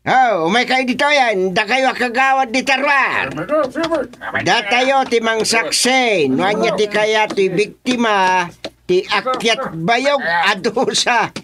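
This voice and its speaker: A man playing an authoritative village chairman in a radio comedy drama, speaking with an Ilocano accent in a commanding, deliberate manner. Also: sits high, animated, a crowd in the background. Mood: stern, presiding. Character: authoritative village chairman presiding over a dispute.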